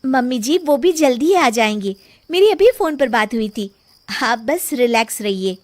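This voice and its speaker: A brave young daughter-in-law in a cartoon, voiced by a woman, with a very high voice. She is caring and respectful, and speaks to reassure. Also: expressive, normal speed, natural voice. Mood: reassuring.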